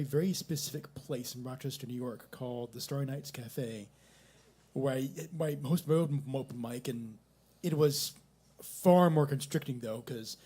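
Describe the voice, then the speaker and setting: A man at a coffee shop open mic, speaking in a reflective, halting way about the poems he just heard. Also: on the high side, warm tone, husky. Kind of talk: casual chat.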